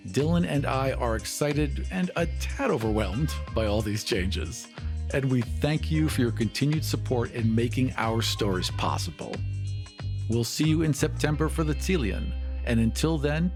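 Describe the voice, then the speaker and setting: A man's voice: medium pitch, big pitch swings, normal speed, music in the background. A man hosting a podcast, reading a scripted announcement to listeners. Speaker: podcast host.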